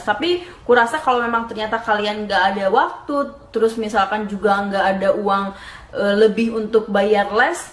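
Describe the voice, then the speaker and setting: A young woman from Indonesia giving casual vlog tips, chatty and laughing at herself as she switches between two languages. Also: fairly high pitch. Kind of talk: casual vlog talk to camera. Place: home room in Dublin. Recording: echoey room, faint background noise.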